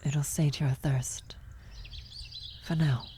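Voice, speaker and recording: A woman acts out a worried female vampire in a medieval fantasy ASMR roleplay. Her low voice is soft and mellow, often slipping into a whisper. She speaks haltingly and gently, with faint birdsong and nature ambience behind her. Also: steady tone, a little hiss or hum. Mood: gentle, guiding. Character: worried female vampire blood sorcerer, tender, guilty lover. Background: birdsong, nature ambience effects.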